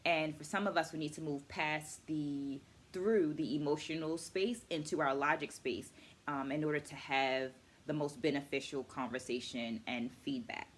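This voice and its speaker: A middle-aged woman hosting a book video series, talking conversationally to camera in a measured, teacherly, explanatory way. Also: low voice, a little hiss or hum.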